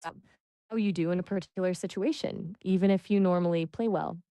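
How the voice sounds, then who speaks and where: A woman coaching English conversation, patient and full of praise, explaining word choices clearly. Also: on the low side, fairly quick, warm tone, dry recording. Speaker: English tutor. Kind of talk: encouraging language coaching.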